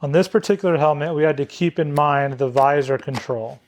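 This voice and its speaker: A man's voice: fairly high pitch, a little fast, a little hiss or hum. A man narrating a step-by-step product installation tutorial as a demonstrator.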